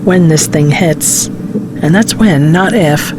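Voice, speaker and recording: A woman playing a grave, weary scientist delivering dire news in an audio drama, somber and deliberate. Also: low-pitched. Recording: noisy car ambience.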